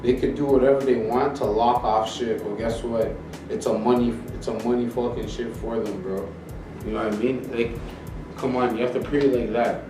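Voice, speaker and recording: A man's voice: mid-range voice, steady tone, normal speed. Insistent young-sounding man arguing. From Canada. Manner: insistent, argumentative, swears often, repeats "you know what I mean". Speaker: podcast co-host. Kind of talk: heated casual debate. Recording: echoey room, mic far off, faint music.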